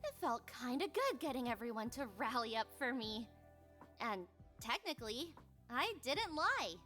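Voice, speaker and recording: A woman voicing a scheming, bratty middle school girl in a visual novel, with a sharp, snarky delivery. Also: fairly high pitch, very animated, normal speed, soft background music. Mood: smug, scheming. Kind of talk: animated visual novel character acting.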